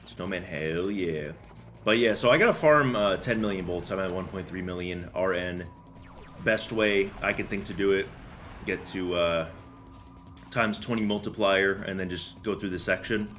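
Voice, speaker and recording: A man's voice: low voice, normal speed, a bit bright, faint music behind. A young man doing casual, slangy game commentary and chatting with viewers in a joking, rambling way. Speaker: game streamer. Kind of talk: casual gameplay commentary and chat.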